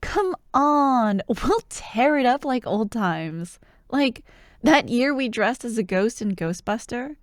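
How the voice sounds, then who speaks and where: A woman playing a bubbly, bossy young childhood friend who loves Halloween, in an animated, teasing ASMR roleplay. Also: fairly high pitch, slow pace, no room sound, studio quality. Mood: upbeat. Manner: animated, expressive, teasing, with laughs and sighs. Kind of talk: ASMR roleplay, one-sided acted conversation.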